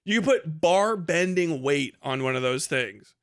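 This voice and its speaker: Man, slightly high voice, casual livestream rambling, animated, profane, enthusiastic. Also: a little slow, clear, resonant tone. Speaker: live streamer.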